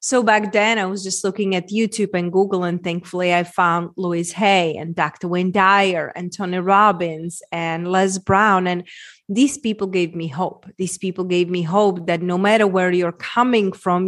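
A woman in her forties with a Czech accent, earnestly telling her personal story as a podcast guest, repeating phrases for emphasis. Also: mid-range voice. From Czech Republic. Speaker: podcast guest, women's transformation coach.

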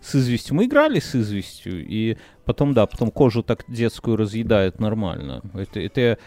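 A man's voice: fairly low pitch, fairly quick, no echo. A man co-hosting a casual podcast chat, sarcastic and playful, whose first language is Belarusian. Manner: animated, sarcastic. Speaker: podcast co-host.

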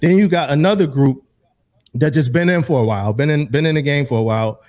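A man in his thirties co-hosting a podcast, talking in relaxed slang with dropped verbs and casual swearing. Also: on the high side, quick pace. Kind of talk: casual chat.

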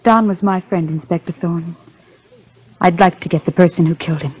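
An actress playing a defiant but frightened blues singer in a 1950s detective drama. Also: female voice, on the low side, fairly even intonation, moderate pace, darkish tone, breathy edge. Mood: frightened, earnest.

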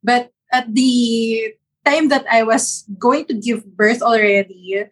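A woman's voice: on the high side, fairly even intonation, slow pace, husky. A woman in her forties, an interview guest who earnestly recounts a personal story, now and then correcting herself mid-word. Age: 40s. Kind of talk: casual interview chat.

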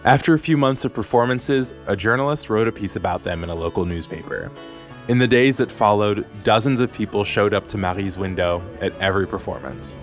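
A man hosting a podcast, reading a scripted story in a measured, clear way. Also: fairly low pitch, soft background music. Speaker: podcast host. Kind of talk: scripted narration.

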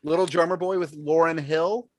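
A man's voice: on the high side, steady tone, moderate pace, no echo. A man co-hosting a podcast, chatting casually with a group. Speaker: podcast co-host.

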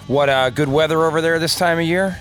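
A man's voice: a little high, a little fast, faint music behind. A man co-hosting a podcast, chatting casually in a laid-back, slangy way. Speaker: podcast co-host. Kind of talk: casual chat.

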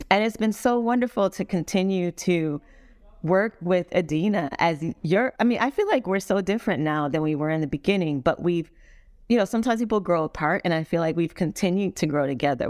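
A woman's voice: fairly low pitch, moderate pace, a little mellow, dry recording, clean studio sound. A middle-aged woman guest speaking earnestly and thoughtfully in a casual interview. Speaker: guest, executive director of a foundation.

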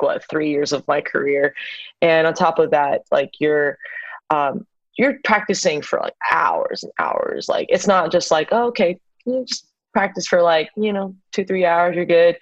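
Young woman rambling chatty in an interview, kinda lowish voice, dull dry lo-fi sound. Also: no echo. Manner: chatty, many fillers, laughs easily. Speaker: interview guest, singer. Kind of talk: casual interview talk.

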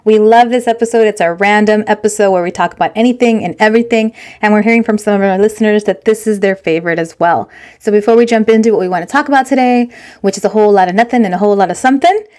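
A woman's voice: medium pitch, very fast, a little mellow. A woman co-hosting a podcast in casual chat, candid and switching between English and Spanish. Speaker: podcast co-host.

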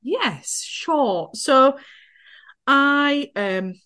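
A woman's voice: high voice, lively intonation, extremely slow, sharp tone. A woman in her thirties with a Yorkshire accent, chatting cheerfully as a podcast interview guest and laughing easily. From North Yorkshire, England. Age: 30s. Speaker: guest, accessibility consultant.

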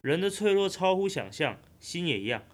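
A man voicing a calm, teasing young insurance agent in an audio drama. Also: sits high, brisk. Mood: calm, unsettling.

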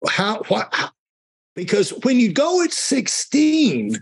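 A man hosting a conversational podcast interview, talking in a halting, rambling way and often rephrasing himself. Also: high-pitched, wildly swooping pitch, breathy edge.

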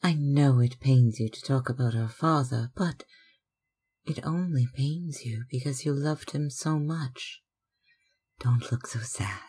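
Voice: medium pitch, mellow, husky, voice pushed up. A narrator voicing a starry-eyed young actress, breathless and theatrical, in an audiobook. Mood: pleading. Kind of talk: audiobook character acting.

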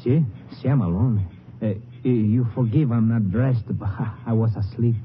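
A man's voice: mid-range voice, normal speed, lightly acted. An actor playing a timid Mexican man in a western radio drama, stammering and deferential, with a Mexican accent. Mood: nervously polite. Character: frightened, submissive Mexican man living alone in shack. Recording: tinny, faintly noisy old radio recording.

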